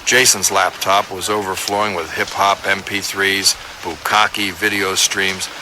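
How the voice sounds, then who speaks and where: Casting: a hardboiled cop, deep-voiced and businesslike, for audio crime drama; noticeable background rumble. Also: male voice, little pitch movement, unhurried. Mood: terse, matter-of-fact. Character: hardboiled male police detective, terse and businesslike. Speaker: actor playing a detective. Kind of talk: hardboiled police radio drama narration.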